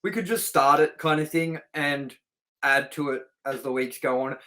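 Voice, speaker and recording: A man's voice: a little high, moderate pace, pushed and bright. A man co-hosting a movie review show in casual, unscripted chat, talking informally and straight to the audience. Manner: informal, chatty, addresses viewers directly.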